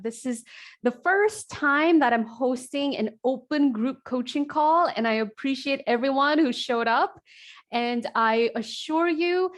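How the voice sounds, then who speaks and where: Woman in her forties, fairly high voice, executive coach, chatty and energetic, computer mic on a video call. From South Korea, raised in New Jersey. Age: 40s. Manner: energetic, conversational, frequent fillers and likes. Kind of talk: hosting an online group coaching call.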